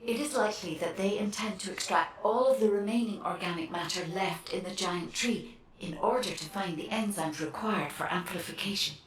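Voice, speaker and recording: A woman's voice: medium pitch, normal speed, slight background noise, lightly acted. Woman voicing a distant, echoing analytical guide. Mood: calm, explanatory. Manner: methodical, formal. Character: calm, analytical female guide, precise and formal. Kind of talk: video game voice acting.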